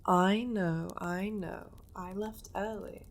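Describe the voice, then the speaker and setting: Woman as a slow, breathy, seductive demoness. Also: medium pitch, fairly even intonation. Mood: teasing. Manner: slow, breathy, teasing, often dropping into whispers. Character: seductive, possessive female demon of war, teasing and domineering. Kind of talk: intimate ASMR roleplay.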